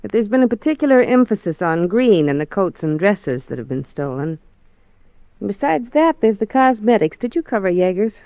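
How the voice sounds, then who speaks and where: A woman's voice: medium pitch, big pitch swings, normal speed, warm tone, dry recording. Methodical radio policewoman, dry close sound. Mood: explanatory, lightly amused. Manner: matter-of-fact, explanatory, lightly amused. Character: confident, methodical policewoman sergeant briefing an investigator. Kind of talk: 1950s radio drama acting.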